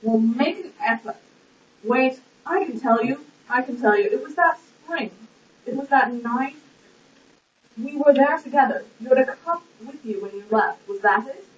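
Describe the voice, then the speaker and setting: A woman's voice: high-pitched, measured pace. A woman playing a blunt, indignant, argumentative nobleman in an amateur puppet-show stage play. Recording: echoey, muffled, distant lo-fi recording.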